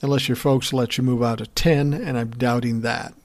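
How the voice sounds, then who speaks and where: A man's voice: medium pitch, steady tone, normal speed. A man hosting a political podcast, giving blunt, profane opinion commentary and answering listener messages in a conversational way.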